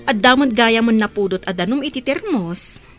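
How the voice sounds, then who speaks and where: A woman's voice: fairly high pitch, lively intonation, normal speed, a bit bright, faint music behind. A woman playing a kindly, playful motherly figure in a radio drama, with an Ilocano accent. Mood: friendly, teasing.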